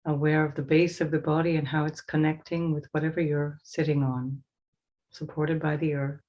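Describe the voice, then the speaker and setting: A woman leading a guided meditation as a teacher, giving slow, soothing instructions with pauses. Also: sits low, little pitch movement, dull audio.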